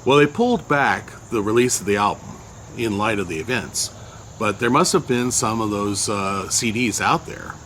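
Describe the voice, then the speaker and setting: An older man with a loud voice casually recounting a memory, unhurried and rambling with many fillers. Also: lowish voice, expressive, slight background noise. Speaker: storyteller recounting a personal memory. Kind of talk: casual spoken recollection.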